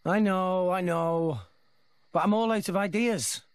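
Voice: mid-range voice, measured pace, clean tone, no room sound, close to an everyday voice. An actor playing an upbeat teenage boy adventurer in a video game, friendly, eager and plain-spoken. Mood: earnest. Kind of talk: animated video game acting.

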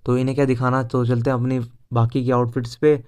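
A man's voice: mid-range voice, flat delivery, fast, a little mellow, clean tone. A young man with an Indian accent giving casual, chatty game walkthrough commentary as a video host. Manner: informal, chatty, mixes Hindi with English words.

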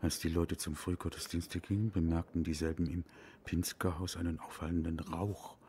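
An actor playing a wry, bookish Viennese historian in a German audio drama, with a husky voice. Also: male voice, low-pitched, level tone, normal speed, a little mellow, a little hiss or hum, natural voice. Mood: absorbed, reading aloud. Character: bookish, self-mocking male Viennese historian, wry and absorbed.

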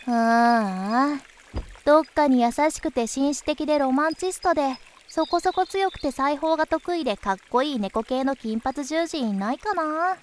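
A woman playing a cheeky, tomboyish young beast-woman in a voice drama, blunt, casual and expressive. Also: high-pitched, moderate pace, a little hiss or hum, natural voice. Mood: wistful sighing. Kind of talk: voice drama acting.

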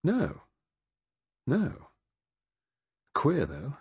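Man playing a cool sardonic adventurer, husky. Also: mid-range voice, wildly swooping pitch, drawn-out words, dry recording, pitched above the performer's own voice, exaggerated voice. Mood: alert. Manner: easy, mocking, thoughtful.

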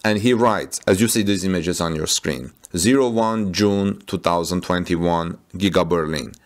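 A man reading out and commenting on news updates, with frequent fillers and restarts. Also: low voice. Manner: halting, with many fillers and restarts.

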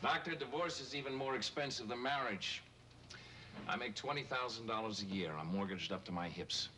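A man's voice: mid-range voice, moderate pace, sharp tone, natural voice. An American man acting a bitter, sardonic, weary suburban husband of forty in a 1970s television drama. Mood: wry, weary. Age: forties. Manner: sarcastic, weary. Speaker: actor in a television film. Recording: noisy old TV film soundtrack.

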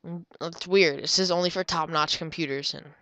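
A woman's voice: sits low, fairly even intonation, moderate pace, fairly bright tone, dry recording. A young woman with a stuffy, nasal voice from a cold, chatting casually while she plays a computer game. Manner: chatty, rambling, drifts into murmurs. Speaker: gamer. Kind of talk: casual gameplay commentary.

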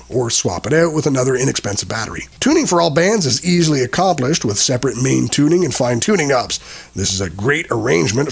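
A man's voice: medium pitch, vocal fry, husky. A man reading a scripted voice-over as the narrator of a product review.

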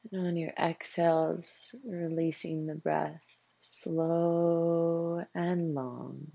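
Husky, gravelly meditation guide, even and unhurried. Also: mid-range voice. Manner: soothing, unhurried phrases with long pauses. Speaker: meditation coach.

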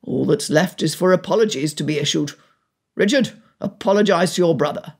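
A woman voicing an authoritative, formal gentleman uncle in an audiobook, with a commanding manner. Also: sits low, brisk, vocal fry, breathy edge, exaggerated voice. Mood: satisfied, commanding. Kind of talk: audiobook character dialogue.

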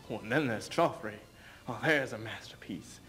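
A man's voice: on the low side, normal speed, bright, forceful tone, soft background music. A young man playing a proud, sardonic aging king in a stage reading, mocking and declamatory. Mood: bitter, aggrieved. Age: young adult. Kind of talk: theatrical duo interpretation, stage reading. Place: indoor stage in front of curtain.

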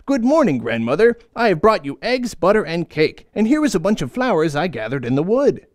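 A man's voice: high voice, big pitch swings, a little fast, dry recording, clean studio sound. A man voicing a sweet, trusting little girl in a storybook reading, in a raised sing-song tone. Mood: innocent.

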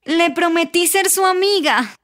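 A woman voicing a spoiled, excitable young princess in a musical storybook cartoon, with a girlish, dramatic delivery. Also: extremely high pitch, unhurried, no echo, studio quality, pitched above the performer's own voice, full character voice. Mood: sheepish.

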